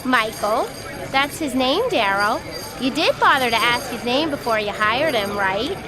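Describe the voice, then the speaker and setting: An actress playing a brash, excitable female carnival worker, slangy, pushy and teasing, in an audio drama. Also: fairly high pitch, unhurried, poor audio.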